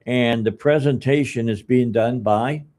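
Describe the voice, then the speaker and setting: A man's voice: medium pitch, measured pace, darkish tone. A man in his seventies hosting a radio talk show, chatting casually and rambling with lots of restarts and fillers. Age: 70s. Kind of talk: casual on-air chat.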